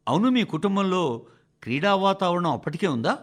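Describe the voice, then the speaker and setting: A man reading a scripted, formal radio address in speech, phrasing it in a measured, emphatic way with deliberate pauses. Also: high voice, very animated. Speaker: radio reader of a translated address.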